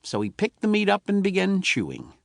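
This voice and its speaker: Animated male storyteller, dry studio sound. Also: high voice, a little fast, creaky, dry recording. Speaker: audiobook narrator. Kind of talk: scripted storytelling narration of a children's tall tale.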